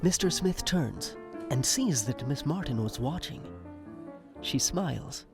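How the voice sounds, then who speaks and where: A man's voice: on the high side, lively intonation, unhurried. A man narrating an audio drama in a scripted, dramatic present-tense style. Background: music.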